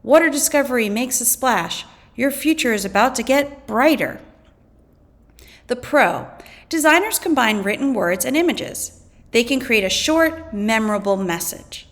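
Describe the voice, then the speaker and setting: Animated woman reading a lesson aloud. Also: fairly high pitch. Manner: expressive, acts out quoted slogans. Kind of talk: educational read-aloud of scripted text.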